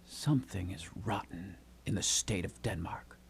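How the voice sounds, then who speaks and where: A man's voice: deep voice, measured pace, creaky. A man playing a frightened night watchman in a theatrical Shakespearean puppet play. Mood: fearful. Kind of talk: theatrical Shakespearean stage acting for puppet show.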